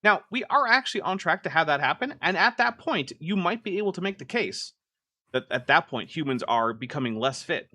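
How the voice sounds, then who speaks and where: A man's voice: fairly high pitch, very animated, a little fast, bright, forceful tone, dry recording. A man hosting a live stream, reacting to a video in casual, exasperated commentary full of "like" fillers. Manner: chatty and exasperated, many "like" fillers.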